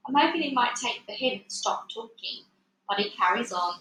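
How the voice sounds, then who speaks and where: Bright-voiced woman reading in an echoey room. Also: a little high, lively intonation, moderate pace. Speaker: reader of a children's book. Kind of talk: animated read-aloud narration. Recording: echoey room, faint background noise.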